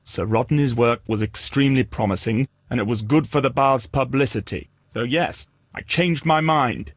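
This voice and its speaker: Disdainful gentleman, acted by a man, dry recording. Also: mid-range voice, normal speed, no room sound, natural voice. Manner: formal, clipped, dismissive. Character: guarded, disdainful Victorian gentleman under questioning. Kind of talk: scripted video game voice acting.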